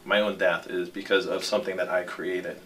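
Low, bright, even-voiced man. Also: brisk. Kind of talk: interview account. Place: indoors, seated interview. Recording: distant mic with some noise.

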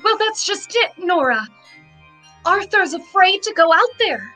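A woman's voice: very high voice, big pitch swings, measured pace, bright, forceful tone, full character voice. A young woman playing a fearful, naive, clinging bride in melodramatic old-time radio mystery style, plaintively. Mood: worried. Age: young adult. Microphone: video call. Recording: faint music behind.

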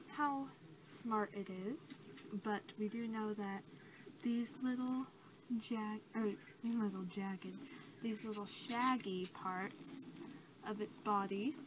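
A soft-spoken young woman doing an improvised ASMR role-play as a researcher, halting and casual, recorded at arm's length in a small bedroom. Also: mid-range voice. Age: young adult. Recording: clearly noisy home recording.

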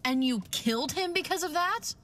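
A woman's voice: sits high, animated, moderate pace, fairly bright tone. A woman playing a serious, cautious doctor in an old-time radio play, earnest and questioning. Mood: alarmed. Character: cautious, serious female doctor, alarmed skeptic.

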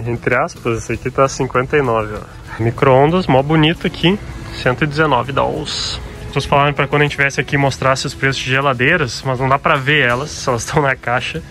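Um homem jovem, brasileiro, que grava um vlog descontraído numa grande loja, comentando preços com jeito brincalhão e falante. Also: male voice, medium pitch, brisk. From Brazil. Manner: chatty, jokey, lists prices out loud. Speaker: travel vlogger. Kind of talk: casual store walkthrough vlog. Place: large indoor department store.

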